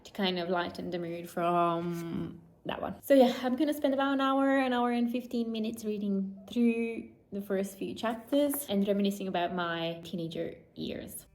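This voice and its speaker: Late-20s woman vlogging, chatty and thoughtful, mid-pitched voice. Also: normal speed, a little mellow. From Romania. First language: Romanian. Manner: chatty and reflective, with light laughs. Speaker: lifestyle vlogger. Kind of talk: casual vlog narration.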